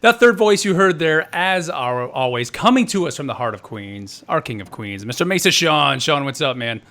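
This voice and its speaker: A man hosting a gaming podcast, with a voice pitched a bit high. He keeps an upbeat, announcer-like energy as he runs the show and introduces his co-hosts. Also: expressive, moderate pace, sharp tone. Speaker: podcast host. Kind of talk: casual podcast chat.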